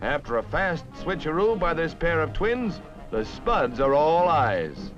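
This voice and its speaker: A man's voice: a little high, very animated, measured pace. An American man narrating a 1950s newsreel in a punchy, dramatic announcer style. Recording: dull vintage newsreel soundtrack with music.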